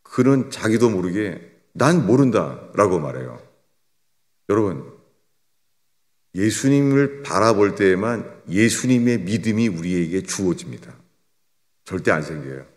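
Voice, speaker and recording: An older man preaching a sermon as a pastor, swinging between raised emphatic lines and low, quiet drops. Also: big pitch swings, a little slow, long pauses. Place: church sanctuary. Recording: long church reverb.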